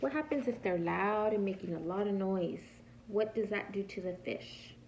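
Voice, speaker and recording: A woman preschool teacher reading a picture book aloud to young children in a patient, teacherly way, pausing to ask simple questions. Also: medium pitch, darkish tone, dull audio, slight background noise.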